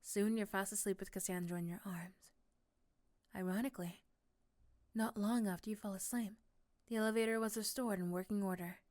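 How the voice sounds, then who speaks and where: A woman softly narrating a scripted second-person ASMR story, hushed and often close to a whisper. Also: medium pitch, fairly even intonation, a little fast.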